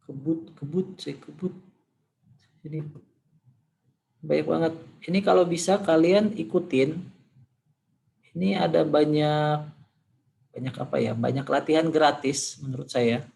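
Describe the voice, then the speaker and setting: Hoarse male lecturer with a gravelly voice. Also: on the high side, a little slow, pauses often, darkish tone, low-quality recording. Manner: informal, thinking aloud with fillers. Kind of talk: classroom lecture with screen demonstration.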